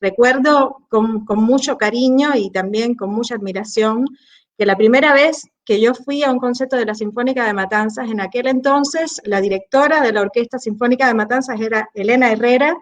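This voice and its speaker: A woman from Cuba with a Cuban Spanish accent, a conductor interviewed over a video call, talking thoughtfully with frequent pauses. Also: fairly high pitch. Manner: warm, thoughtful, pauses often with eh. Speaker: orchestra conductor, guest.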